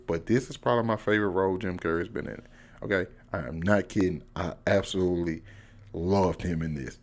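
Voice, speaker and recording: Casting: American man, low voice, enthusiastic online film reviewer, informal and emphatic, with slangy off-the-cuff delivery. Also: a little mellow. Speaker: YouTube movie reviewer. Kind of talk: casual, unscripted video review to camera.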